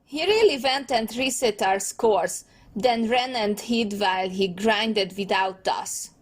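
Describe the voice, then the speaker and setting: A woman voicing an excitable, competitive teenage brother in a comic dub, with a casual, slangy way of talking. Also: mid-range voice, takes it slowly. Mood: indignant. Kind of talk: comic dub character acting.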